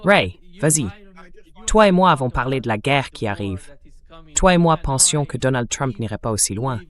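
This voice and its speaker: A man's voice: medium pitch, expressive, normal speed. A man hosting an interview and asking the guests questions. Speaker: host. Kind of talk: moderating an interview.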